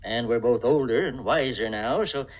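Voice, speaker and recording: An actor playing a timid, wistful older bachelor in a 1950s radio drama, hesitant and mild. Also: male voice, medium pitch, normal speed, lo-fi sound, lightly acted.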